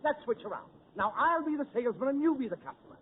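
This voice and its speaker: An actor playing a fussy, exasperated store manager in a 1940s radio comedy. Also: male voice, very high voice, very animated, fast, narrow, tinny audio. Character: fussy middle-aged male store manager. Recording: scratchy 1940s radio broadcast.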